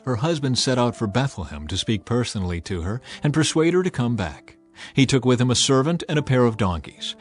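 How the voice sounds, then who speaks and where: A man narrates a dramatized scripture audiobook at a middling male pitch. His storytelling is measured and dramatic, swelling for emphasis, and music plays beneath him. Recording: music bed under the voice.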